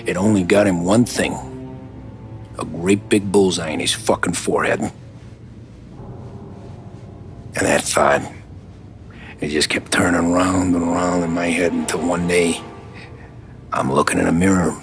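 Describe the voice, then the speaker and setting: A man's voice: deep voice, fairly even intonation, moderate pace, long pauses. A man playing a hardened, brooding American mobster in a crime video game, with tough, plain-spoken delivery. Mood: wry, brooding. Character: hardened male mob gangster, brooding ex-cab driver. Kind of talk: cinematic game acting, confessional crime narration. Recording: loud music behind the voice. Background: music.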